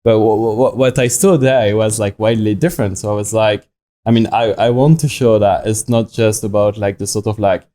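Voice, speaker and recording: A young man with a French accent talks in a podcast interview, stumbling and restarting as he works out his thoughts. His voice sits a bit low with a mellow, soft tone. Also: fairly even intonation. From France. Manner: halting, many restarts and fillers. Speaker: watch company founder.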